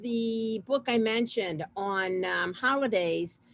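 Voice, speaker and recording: A woman casually hosting an online community gathering over a video call, chatting informally in a halting way with trailing asides. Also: mid-range voice, creaky, fairly bright tone, no echo. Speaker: host of an online community program. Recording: noisy video call audio.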